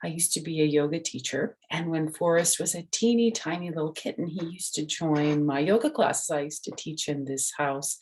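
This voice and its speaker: Older woman reading a storybook, low voice. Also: moderate pace, hardly any pauses, husky. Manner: gentle, simple explaining, asking the listener questions. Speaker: storyteller and host. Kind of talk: reading a picture book aloud to children with chatty asides.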